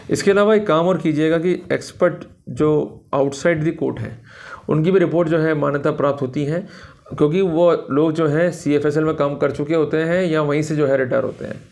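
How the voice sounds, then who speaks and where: An Indian man giving an explanatory legal lecture in a didactic manner, slowing down deliberately for quoted passages. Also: fairly high pitch, gravelly, a little mellow. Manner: didactic, repeats filler phrases, slows down for English quotations. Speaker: law lecturer. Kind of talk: explanatory legal lecture to camera, Hindi mixed with English.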